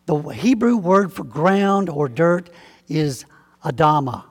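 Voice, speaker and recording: A man from Alabama with a Southern accent, preaching a sermon in a folksy, conversational way and slowing down to stress key words. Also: fairly high pitch, animated, slightly rough. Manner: folksy, conversational preaching with slow emphatic spelling-out. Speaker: pastor. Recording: long hall reverb.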